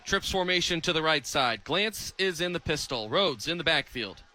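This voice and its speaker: A man in his twenties calling live American football on radio as play-by-play announcer, with an animated, excitable delivery. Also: on the high side, moderate pace, soft background music. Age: 20s. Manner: animated, builds from clipped calls to excited shouting. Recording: stadium crowd behind the commentary. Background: stadium crowd.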